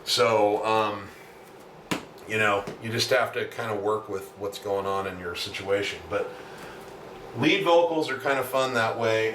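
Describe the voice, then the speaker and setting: Deep-voiced man, roomy distant recording. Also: a bit bright. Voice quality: deep natural voice. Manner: rambling, explanatory, with many asides. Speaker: singer-songwriter and self-producing musician. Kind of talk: casual video diary explanation. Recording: roomy, distant mic, faint background noise.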